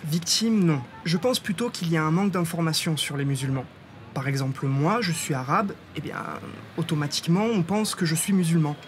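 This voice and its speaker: Relaxed friendly man, higher voice, faint street noise. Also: fairly quick. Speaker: interviewee. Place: outdoor public event.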